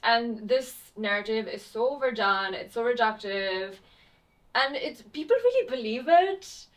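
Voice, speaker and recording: A young woman from Pakistan, a playwright, answering interview questions in a thoughtful, halting way with many fillers. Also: a little high, expressive. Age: young adult. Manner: hesitant, many fillers and restarts.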